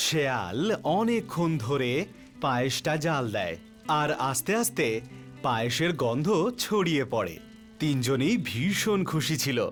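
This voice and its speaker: A man narrating a children's cartoon story in a scripted storytelling style. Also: medium pitch. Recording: faint music bed under voice.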